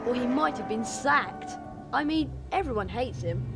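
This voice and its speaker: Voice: medium pitch, expressive, normal speed, creaky, loud music behind the voice. An actor voicing a modest, brave English schoolboy wizard with a polite, earnest manner in a video game. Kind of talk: video game cutscene acting.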